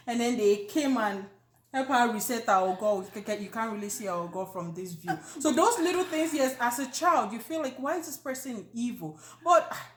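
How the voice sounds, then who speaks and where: A woman with a Nigerian accent, co-hosting a casual chat, joking and animated with many fillers. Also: on the high side. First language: not Yoruba. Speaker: co-host. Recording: echoey room.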